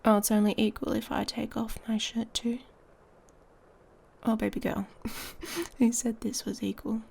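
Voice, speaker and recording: A woman playing a haughty, domineering female werewolf in an intimate ASMR roleplay, mocking and commanding, often dropping into a breathy whisper. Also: on the high side, level tone, measured pace, darkish tone, heavily acted. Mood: teasing, commanding. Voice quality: husky, breathy.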